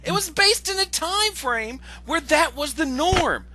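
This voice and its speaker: Guy on a voice chat, high sharp voice swinging all over, exasperated, trips over his words. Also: a little slow. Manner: excitable, exasperated, stammering when worked up, swearing. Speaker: guest commentator on an online video. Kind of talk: casual voice-chat ranting. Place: online voice call.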